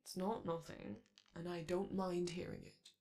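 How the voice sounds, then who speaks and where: A man's voice: high voice, flat delivery, measured pace, warm tone. A man voicing a flamboyant, doting male robot star in a romantic ASMR roleplay, theatrical and coaxing, calling the listener darling. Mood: coaxing, flirty.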